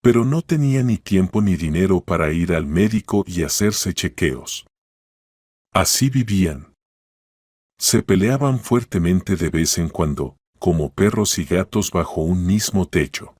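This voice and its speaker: Man, low pitch, scripted story narration, dry studio-like sound. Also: lively intonation, a little slow, a little mellow, dry recording.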